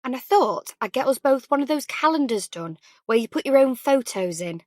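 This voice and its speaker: An affectionate older mother in an audiobook, acted by a woman, chatty and full of anxious fondness. Her voice sits high, and the sound is close and dry. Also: expressive, moderate pace, darkish tone, dry recording. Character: cheery, doting, anxious older mother on the phone. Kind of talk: audiobook character dialogue.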